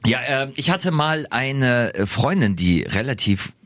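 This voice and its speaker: A man's voice: mid-range voice, big pitch swings, a little slow, fairly bright tone, no echo. Young guy cohosting a podcast, all fired up, keeps restarting, dry close mic. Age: young adult. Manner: enthusiastic, stumbling restarts. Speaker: podcast co-host. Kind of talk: casual chat.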